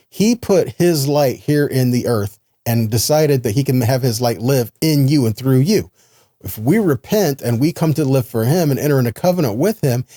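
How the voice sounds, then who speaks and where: A man's voice: mid-range voice, mellow, no echo, studio quality. A man teaching a sermon in an earnest, explanatory way, reading scripture and stressing points with rhetorical questions. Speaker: preacher and Bible teacher.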